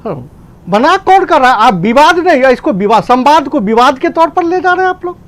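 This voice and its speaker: A man's voice: very high voice, sing-song, brisk. A man with a Bihari accent arguing as a political panelist in a heated TV debate, defensive and repetitive.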